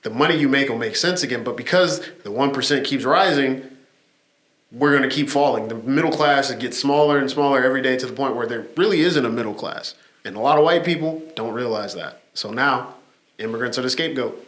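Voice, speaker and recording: A man co-hosting a casual chat, with a mid-pitched voice. He talks in a measured, explanatory way and builds his point step by step. The room gives his voice a noticeable echo. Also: a bit bright. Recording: echoey room.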